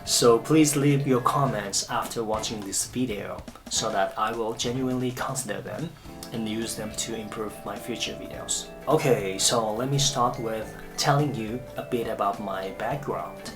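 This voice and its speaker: Low-voiced man in his thirties from Japan, careful scripted vlog narration over quiet guitar. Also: normal speed. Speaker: English coach.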